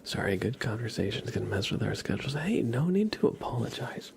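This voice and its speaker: A man doing a live ASMR stream, chatting casually with viewers in a soft, breathy whisper and rambling conversationally. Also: medium pitch, sing-song, fairly quick, noisy background. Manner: rambling, conversational, addresses chat by name.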